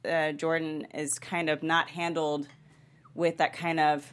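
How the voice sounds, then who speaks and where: A woman with a notably low voice speaks as a panelist in a casual discussion. She rambles toward her point, peppering sentences with "like.". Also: flat delivery, unhurried.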